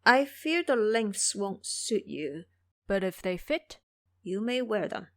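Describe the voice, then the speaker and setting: A woman's voice: mid-range voice, very animated, slow, fairly bright tone, heavily acted. A woman voicing a stern, cautious male monk master in an audio storybook drama, questioning and admonishing. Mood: coaxing.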